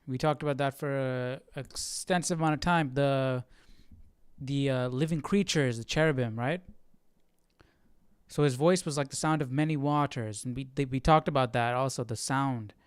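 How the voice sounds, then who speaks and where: A man's voice: medium pitch, normal speed, clear, resonant tone, no room sound, clean studio sound. A man hosting a livestream Bible study with casual, reflective commentary, thinking aloud and often checking in with his listeners.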